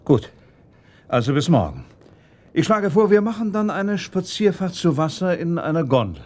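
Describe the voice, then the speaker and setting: An actor playing a proud, courteous prince, formal and easily provoked, with a husky voice in a German radio drama. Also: male voice, on the high side, sing-song, moderate pace, darkish tone. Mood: puzzled, reflective. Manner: formal, dignified, indignant when crossed.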